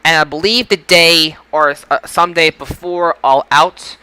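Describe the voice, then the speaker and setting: Male podcast host, slightly high and forward-bright voice, casual, halting delivery with repeated starts. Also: a little hiss or hum. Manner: halting, repeats and restarts phrases. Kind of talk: casual podcast sign-off talk.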